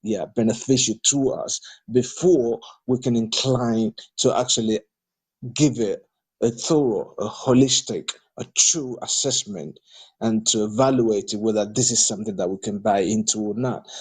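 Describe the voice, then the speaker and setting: A man's voice: lowish voice, steady tone, moderate pace, husky, poor audio. A man from Nigeria, speaking for a political party in an audio discussion, hesitant with many fillers and restarts but growing emphatic when criticising politicians. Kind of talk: audio discussion replying to a participant.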